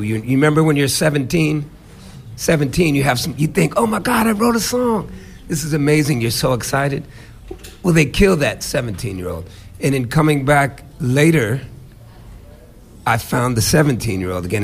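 A man's voice: mid-range voice, animated, moderate pace, creaky. Reflective middle-aged man guest. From United States. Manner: reflective, emphatic, repeats for emphasis. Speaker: musician guest. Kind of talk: interview answers.

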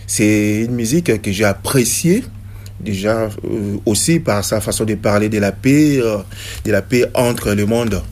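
A man from Congo, a reggae musician answering interview questions in a halting way, full of hesitations and restarts. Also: fairly low pitch, darkish tone.

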